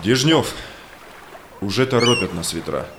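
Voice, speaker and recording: An actor playing an authoritative Cossack expedition chief, declaiming rhymed verse in an audio drama. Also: male voice, mid-range voice, expressive, unhurried, noisy background, close to an everyday voice. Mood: purposeful.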